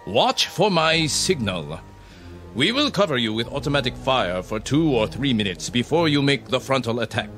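A merciless Japanese army captain in a radio drama. The voice is forceful and fairly high, swinging widely in pitch as it gives clipped, contemptuous orders. Faint music plays underneath. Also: male voice, big pitch swings, moderate pace. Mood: cold, commanding. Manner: clipped, imperious, barking orders.

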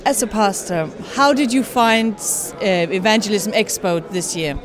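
A woman's voice: mid-range voice, animated, measured pace, over music. A woman reporter delivering event narration and putting interview questions to guests.

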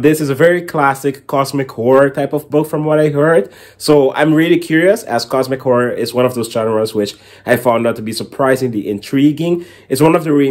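Young male book vlogger, mid-pitched voice, casual and a little rambling, speaking to camera at home. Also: darkish tone. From Caribbean. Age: young adult. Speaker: book reviewer and channel host. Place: small indoor room at home with bookshelf.

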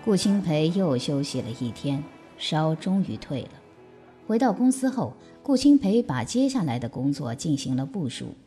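A woman's voice: low-pitched, very animated, normal speed, warm tone, faint music behind. A woman reading scripted narration for an audio drama.

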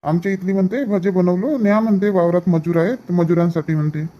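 A man's voice: sits high, brisk, highs cut off, close to an everyday voice. A man voicing easygoing village farm men in a cartoon comedy.

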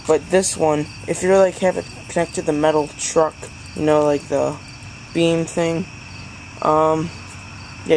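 A young male hobbyist giving a casual, rambling, slangy product review outdoors at night. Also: on the high side. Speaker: hobbyist vlogger. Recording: noisy outdoor recording with insects.

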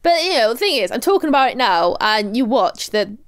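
A woman's voice: a little high, sing-song, fairly quick, no room sound. A young woman with a Welsh accent chatting casually as a podcast co-host. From Wales. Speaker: podcast co-host and astrophysics researcher. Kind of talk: casual chat.

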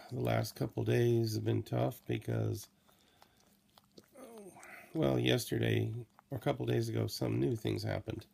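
Middle-aged man, hoarse and worn out, gravelly fry at a middling pitch, slow rambling vlog talk. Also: level tone, darkish tone. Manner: slow, tired, rambling with many fillers. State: hoarse, coughing. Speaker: vlogger. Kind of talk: late-night personal video diary. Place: small room indoors at night. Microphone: laptop or phone camera close to face.

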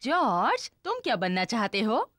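Cheery rabbit face painter, high bouncy voice, dry studio. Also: female voice, huge pitch swings, unhurried, fairly bright tone, dry recording, full character voice. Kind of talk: cartoon dub acting.

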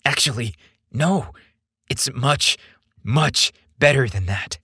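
A man's voice: mid-range voice, slow pace, sharp tone, husky, no echo, studio quality, full character voice. A man voicing an eager, smitten young man in a romance story, with an earnest, playful manner.

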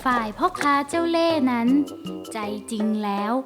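A woman narrating a children's cartoon tale in an expressive storybook manner. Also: on the high side, normal speed, over music.

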